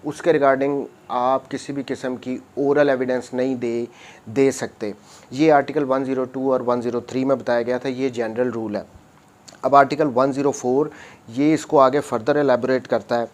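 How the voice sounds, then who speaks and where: Male lecturer, mid-pitched voice; methodical, repetitive explainer who switches between reading and paraphrase. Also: little pitch movement, normal speed. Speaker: law lecturer.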